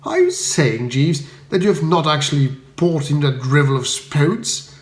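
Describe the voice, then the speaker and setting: A man acting a young English toff, high-pitched, indignant, florid, exclamatory, roomy sound, comic audiobook. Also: animated, measured pace. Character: excitable, indignant young English upper-class gentleman.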